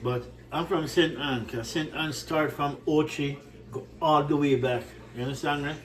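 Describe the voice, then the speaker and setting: A man's voice: on the high side, fairly even intonation, normal speed, far from the mic. A man from Jamaica with a Jamaican accent, chatting casually to his cooking channel viewers in his home kitchen, rambling and asking "right?" a lot. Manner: warm, repetitive, rambling, often says "right?".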